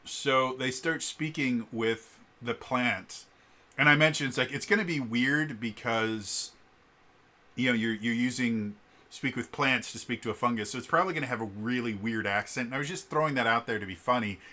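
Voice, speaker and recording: A man's voice: medium pitch, normal speed, bright, forceful tone. A man talks casually as a vlogger and game master, explaining things in a digressive, storytelling way. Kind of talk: casual rambling storytelling vlog.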